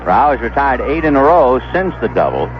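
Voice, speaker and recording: A man's voice: medium pitch, very animated, fairly quick, lo-fi sound, music in the background. A man calling baseball play-by-play as a radio announcer, on an old 1970s broadcast. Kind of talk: radio sports commentary.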